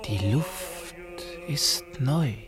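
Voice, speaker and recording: An entranced chanter half sings in a raised, swooping voice. The words come extremely slowly, like an incantation in a poetic radio drama, with music clearly behind. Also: male voice, fairly high pitch, expressive. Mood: entranced relief. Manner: half-sung, drawn-out incantation.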